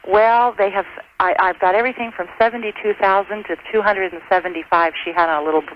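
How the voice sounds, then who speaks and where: A middle-aged American woman phones in to a talk show. Her voice sits fairly low for a woman and she talks casually, chatty and good-humored with some hesitation. The phone line is dull, with faint noise. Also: steady tone, quick pace. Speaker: radio call-in show caller. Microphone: telephone.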